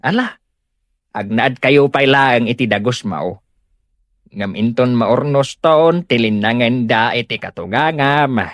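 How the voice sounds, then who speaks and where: A man's voice: medium pitch, measured pace, dry recording, clean studio sound, lightly acted. A man playing a firm, protective father in an audio comedy drama. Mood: caring. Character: firm, protective father standing up for his daughter. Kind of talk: Ilocano comedy radio-style drama acting.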